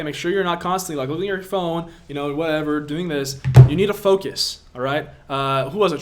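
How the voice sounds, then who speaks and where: A man's voice: fairly high pitch, a little hiss or hum. A young man from Latvia, an online business coach answering viewer questions on a live stream, emphatic and pushy, repeating himself for effect.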